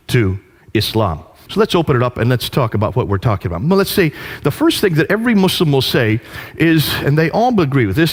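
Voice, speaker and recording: A man giving a lecture to an audience, telling a story with animated delivery and rhetorical questions. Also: mid-range voice.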